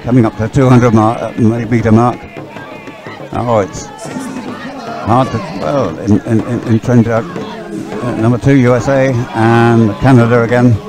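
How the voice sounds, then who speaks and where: A man giving loose, halting live race commentary outdoors, often rambling and thinking aloud. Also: mid-range voice, level tone, a crowd in the background. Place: outdoors beside a race course. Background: music, loudspeakers at a sports venue.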